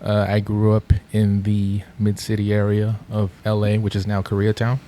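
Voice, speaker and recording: A middle-aged man from Los Angeles, a podcast guest telling his story in a relaxed, rambling way with lots of fillers. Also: on the low side, moderate pace, slight background noise. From Los Angeles, USA. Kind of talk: casual podcast interview.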